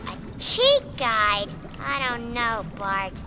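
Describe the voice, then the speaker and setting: A woman's voice: a little high, very animated, extremely slow, heavily acted. A woman voicing an earnest, kind little girl in a cartoon, sincere and conscientious. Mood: doubtful. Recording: music behind the voice.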